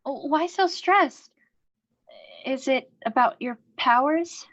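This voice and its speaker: A woman's voice: high-pitched, slow pace, dull audio. A woman playing a sweet, eager young goddess with a crush in a comic staged reading, earnest, hesitant and girlish. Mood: shy, hopeful.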